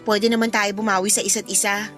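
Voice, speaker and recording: A woman's voice: medium pitch, little pitch movement, fast, fairly bright tone, soft background music, close to an everyday voice. A woman acting a caring, teasing young daughter in a radio drama. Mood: playfully reasoning.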